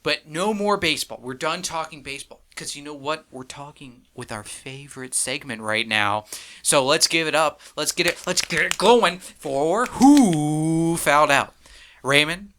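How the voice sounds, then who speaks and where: A man's voice: on the high side, lively intonation, measured pace, a bit bright. A young man co-hosting a podcast, teasing his friend and hyping up the next segment. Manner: teasing, mock-insulting, hypes segments. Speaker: podcast co-host. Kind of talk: casual sports chat.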